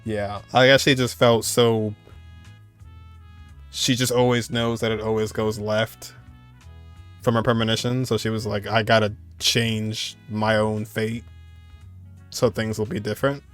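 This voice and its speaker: Gaming commentator, male, lowish voice, casual and reactive, thinking aloud over faint music. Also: little pitch movement, unhurried, pauses often, a bit bright, clear, resonant tone. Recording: faint game music behind. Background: game music.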